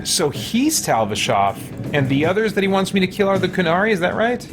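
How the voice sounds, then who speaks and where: A man's voice: sits high, lively intonation, a little fast. A man doing casual live gameplay commentary as a streamer, thinking aloud in a rambling, hesitant way. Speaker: game streamer and host. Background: game music.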